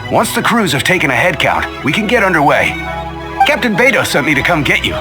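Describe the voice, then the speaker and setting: A man's voice: on the high side, wildly swooping pitch, a little fast, over music. A man playing a friendly, dutiful young sailor in a video game, with an eager, polite manner. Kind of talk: video game character acting.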